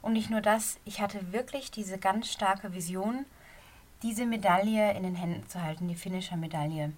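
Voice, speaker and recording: A middle-aged woman with a slight cold and a somewhat hoarse voice, a running coach giving a casual, earnest podcast talk from a quiet corner of her bedroom. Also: mid-range voice, normal speed. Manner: earnest, encouraging, talks straight to the listener.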